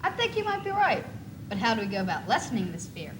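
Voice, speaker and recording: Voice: mid-range voice, huge pitch swings, quick pace. A young teenage student playing a nervous, lovesick schoolboy in a school stage monologue. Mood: earnest, shy. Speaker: student actor in a school one-act play. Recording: noisy 1980s school video recording.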